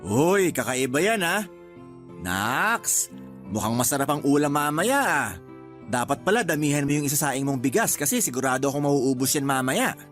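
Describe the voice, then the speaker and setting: An older brother with grown sons in a family radio drama. He wheedles for favors and bristles at any criticism, with soft music underneath. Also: fairly high pitch, very animated, moderate pace. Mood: casual, wheedling. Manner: wheedling, touchy, argumentative. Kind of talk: Tagalog radio drama acting.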